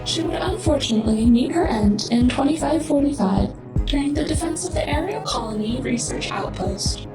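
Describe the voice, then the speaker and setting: A woman voicing a poised computer intelligence that narrates military files in formal, measured tones for a sci-fi audio drama. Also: high voice, expressive. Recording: roomy echo, distant, under loud music.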